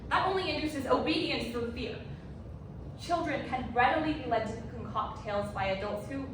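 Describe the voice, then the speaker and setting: A woman's voice: high-pitched, moderate pace, close to an everyday voice. A woman playing a skeptical, principled investigator, making pointed arguments in a stage readers theatre piece. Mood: indignant. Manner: crisp argumentative delivery, pointed. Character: skeptical, principled female investigator exposing flawed abuse findings. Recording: echoey room, distant mic, noisy.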